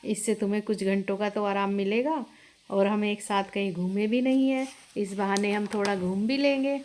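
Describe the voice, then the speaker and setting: A woman's voice: medium pitch, fairly even intonation, moderate pace, a little mellow, slight background noise, lightly acted. A woman voicing a practical young husband in a moral story, explaining and remonstrating. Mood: cheerful. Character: young husband, practical, reasoning, budget-minded.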